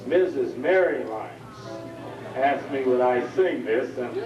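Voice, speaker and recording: A man singing a slow show tune at a family reunion, with long drawn-out phrases. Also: mid-range voice, animated, a crowd in the background. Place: reunion hall. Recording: dull lo-fi home video, roomy hall, mic far off.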